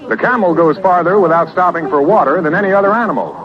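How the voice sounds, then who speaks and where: A man's voice: high voice, fast talker, crowd noise. A man reading a scripted car advertisement as a radio commercial announcer. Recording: dull, noisy old radio recording.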